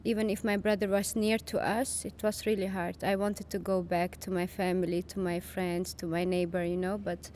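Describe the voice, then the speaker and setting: A woman's voice: fairly low pitch, level tone, normal speed, few pauses, dark tone, a little hiss or hum. A young woman from Chechnya, a native Dutch speaker, talking in an interview with many fillers and restarts in a studio. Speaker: podcast guest. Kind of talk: casual interview conversation. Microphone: boom-arm studio mic.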